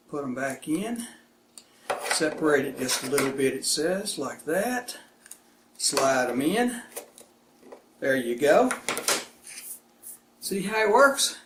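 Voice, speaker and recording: Folksy man, higher-pitched voice, echoey room. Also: sing-song, slow, pauses often. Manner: folksy, plain-spoken, short phrases while demonstrating. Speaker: product reviewer and video host. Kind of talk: casual unboxing and demonstration chat. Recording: echoey room with clear background noise.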